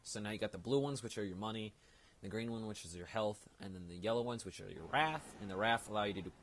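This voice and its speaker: A man's voice: lowish voice, fast. A man hosting a gaming video with casual, explanatory commentary and rambling asides. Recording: loud background noise.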